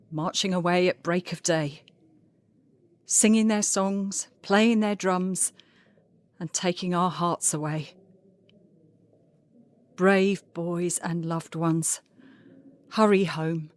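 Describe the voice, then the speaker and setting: A woman's voice: lowish voice, dry recording. A woman playing a guarded but defiant French cabaret singer in an audio drama. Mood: solemn reciting.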